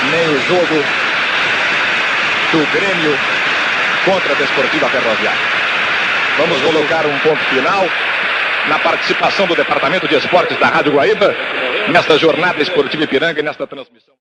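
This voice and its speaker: Grave radio sports announcer, archival. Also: male voice, on the high side, a little fast, long pauses. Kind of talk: solemn broadcast announcement. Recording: noisy archival radio broadcast.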